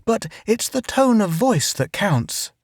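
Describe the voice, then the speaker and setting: A woman's voice: mid-range voice, very animated, slow, darkish tone, no room sound, clean studio sound, voice pushed up. A man voicing a bossy, scheming young woman explorer who is sweetly polite one moment and flustered the next. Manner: gushing, grandly polite, then flustered.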